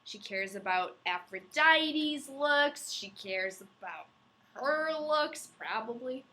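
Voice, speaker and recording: A woman's voice: high voice, expressive, takes it slowly, pushed and bright. A woman co-hosting a podcast, chatty and giggly in casual talk. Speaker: podcast co-host. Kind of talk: casual chat.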